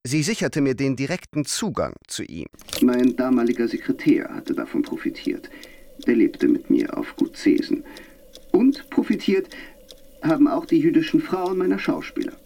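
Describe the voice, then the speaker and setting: A vain actor, swooping theatrical delivery. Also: male voice, mid-range voice, wildly swooping pitch, moderate pace, vocal fry, warm tone, heavily acted. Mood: wry, reflective. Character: vain, theatrical actor and manor host, urbane, self-dramatizing. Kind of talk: radio play acting and reflective monologue.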